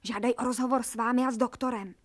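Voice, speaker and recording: An actress playing a pert, excitable young housemaid in a Czech radio drama, brisk and cheeky as she reports. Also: on the high side, close to an everyday voice.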